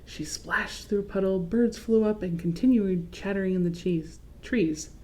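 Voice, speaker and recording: Woman, mid-range voice, children's read-aloud host, expressive, explanatory. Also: medium pitch, normal speed, low-quality recording.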